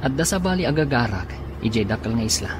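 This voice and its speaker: A man's voice: mid-range voice, very animated, fairly quick, noisy background, natural voice. An actor playing an eager, reassuring young male student in a radio drama. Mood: polite.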